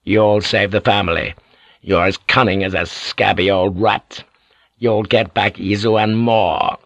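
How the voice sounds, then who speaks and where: A man's voice: fairly low pitch, fairly even intonation, measured pace, vocal fry, dry recording, muffled recording. Man playing a fierce, imperious old samurai lord. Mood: proud, urgent. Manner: imperious, emphatic, giving orders. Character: fierce, scheming older samurai lord, proud and commanding. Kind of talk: audiobook character acting.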